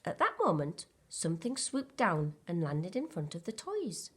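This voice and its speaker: Lady teacher reading a bedtime story to kids, bright voice, very up and down. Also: lowish voice, very animated, a little slow, a little hiss or hum. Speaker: primary school teacher reading to children. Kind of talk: expressive children's storybook narration.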